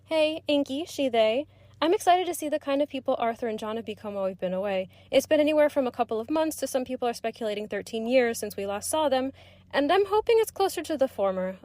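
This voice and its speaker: A woman's voice: fairly high pitch, a little mellow, no room sound. A woman giving prepared, articulate commentary as a listener's recorded submission to a podcast.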